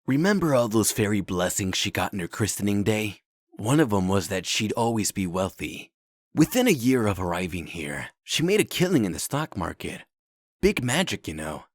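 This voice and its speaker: A man puts on a roguish comic-book sidekick with a low voice and very animated intonation. He gossips eagerly in a casual, folksy way, recorded dry. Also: moderate pace, dry recording. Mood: gossipy. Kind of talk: comic dub acting.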